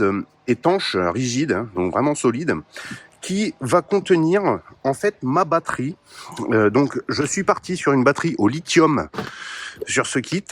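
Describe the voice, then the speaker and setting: A man casually presenting his radio kit to viewers, talking in a relaxed way with many pauses and fillers. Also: medium pitch, slight background noise. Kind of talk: casual hands-on gear demo.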